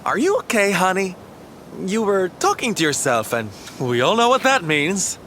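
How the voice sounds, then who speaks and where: A man's voice: high-pitched, very animated, normal speed, slight background noise, exaggerated voice. A man playing a flamboyant, caring entertainer in a game cutscene, gushing and exclaiming theatrically. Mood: gentle concern. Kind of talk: video game cutscene acting. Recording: loud orchestral game music behind.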